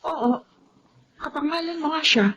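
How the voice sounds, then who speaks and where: A woman playing a patient, gentle housemaid mother in a Filipino melodrama, hesitant and emotive in delivery. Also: sits high, moderate pace. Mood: tearful, pleading. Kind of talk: Filipino radio-style melodrama acting.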